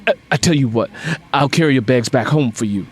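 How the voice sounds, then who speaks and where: A man's voice: mid-range voice, fairly quick, lightly acted. A man voicing an eager, good-natured handyman in an audiobook, casual and obliging with slightly bashful, hesitant starts. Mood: sheepish.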